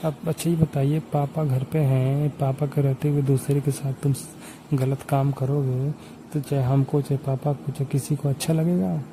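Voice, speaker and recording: Casting: male actor as reproachful village son of 25, flat delivery, insistent, noisy amateur call recording. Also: medium pitch, moderate pace, close to an everyday voice. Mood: scolding. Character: indignant 25-year-old village son scolding his stepmother.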